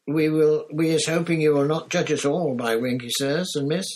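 A man voicing an ashamed, humble male house elf servant with a squeaky voice. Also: steady tone, moderate pace, a little mellow, close to an everyday voice. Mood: apologetic. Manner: humble, apologetic.

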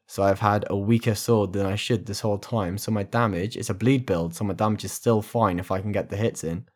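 A man's voice: on the low side, steady tone, brisk, darkish tone. A man co-hosting a casual podcast, telling laid-back stories and swearing freely. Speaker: podcast co-host.

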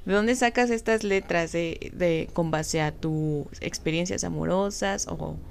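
A woman's voice: on the low side, a little slow, gravelly, slight background noise. A woman interviewing a musician, asking long, rambling questions. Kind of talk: casual interview.

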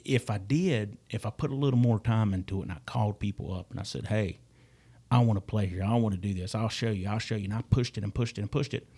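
A man's voice: lowish voice, warm tone, slightly rough. A man talking as a guest musician in a casual podcast chat, earnest and often stumbling over his words. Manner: halting, repeats words, earnest.

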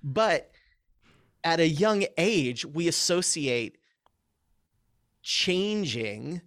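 A man, a public speaking coach, explaining ideas in an energetic, casual podcast conversation full of filler words. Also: on the high side, very slow, dry recording, clean studio sound.

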